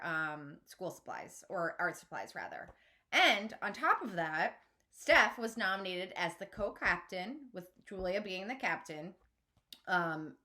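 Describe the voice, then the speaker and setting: A woman teacher reading a children's novel aloud in an expressive storytelling style. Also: mid-range voice.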